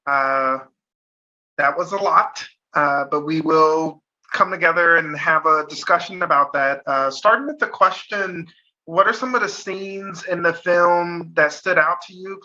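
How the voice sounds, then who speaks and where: A man from Chicago facilitating an online panel discussion, halting, with many fillers and restarts, heard over a video call. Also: a little high, bright, forceful tone. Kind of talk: hesitant online panel hosting. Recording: dull lo-fi video call audio.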